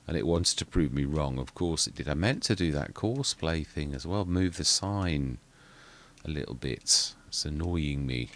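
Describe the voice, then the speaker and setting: Soft-spoken deep guy mumbling through farming game commentary, lots of restarts. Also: lively intonation, moderate pace. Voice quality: quiet voice. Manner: rambling, self-correcting, muttering asides. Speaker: gaming channel host. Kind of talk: casual gameplay commentary.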